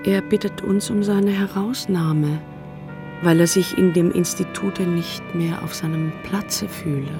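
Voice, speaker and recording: A woman's voice: lowish voice, steady tone, a little slow. Formal mother, over music. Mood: composed. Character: composed, formal mother writing to her schoolboy son. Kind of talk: German radio play, letter read in character. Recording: clear music behind the voice.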